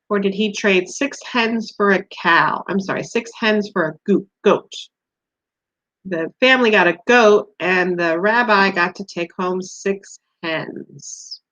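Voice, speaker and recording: A woman's voice: medium pitch, a bit bright. A woman teacher leading a read-aloud quiz for young children, asking simple questions and giving encouragement in a slightly dull, lo-fi recording.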